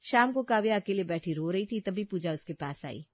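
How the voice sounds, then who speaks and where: A woman's voice: medium pitch, lively intonation, normal speed, no room sound, lo-fi sound. A woman narrator reading a scripted family story as a storyteller.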